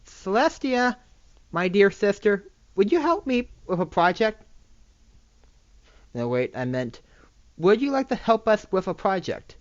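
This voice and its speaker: Eager, excitable pony princess by an amateur reader. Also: mid-range voice, measured pace, pauses often, no echo, voice pushed up. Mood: eager, calling. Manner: pleading, enthusiastic. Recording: dull lo-fi microphone recording.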